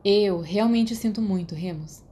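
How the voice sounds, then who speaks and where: A woman's voice: medium pitch, measured pace, dark tone, close to an everyday voice. A woman voicing an insolent, spoiled teenage schoolboy with a sarcastic, defensive manner in an audiobook. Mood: contrite. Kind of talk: audiobook character voice.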